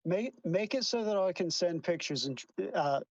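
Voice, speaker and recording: A man's voice: sits high, animated, normal speed, no room sound, lo-fi sound. Stammering defensive man on voice chat. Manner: stammering, defensive, many restarts. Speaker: debate participant defending himself. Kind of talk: online voice chat argument.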